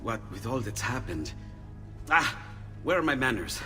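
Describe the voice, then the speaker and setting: An actor playing an excitable, friendly, courteous artisan and inventor. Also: male voice, mid-range voice, measured pace. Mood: flustered, welcoming. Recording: long hall reverb, faint music.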